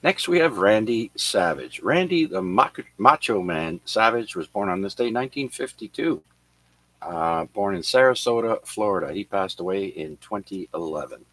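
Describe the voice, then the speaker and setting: A man hosting an amateur radio net, reading notes on who was born and who died on this day, with many filler pauses. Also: fairly low pitch, animated, a bit bright, dry recording, poor audio. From Canada. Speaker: radio net control host. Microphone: radio transceiver microphone.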